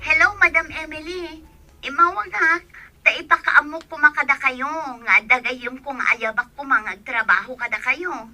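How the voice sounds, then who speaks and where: High female voice, friendly young waitress, persuasive, audio drama role acted by a woman. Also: fairly even intonation, normal speed, sharp tone, faint music behind. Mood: polite. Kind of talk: scripted radio-style drama.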